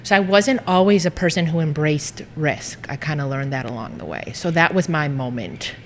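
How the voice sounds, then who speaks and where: A woman's voice: sits low, moderate pace, slight background noise. Robust-voiced woman with lingering reverb. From United States. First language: Greek. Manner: robust, lively, storytelling. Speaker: guest, social media business owner. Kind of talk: casual interview conversation.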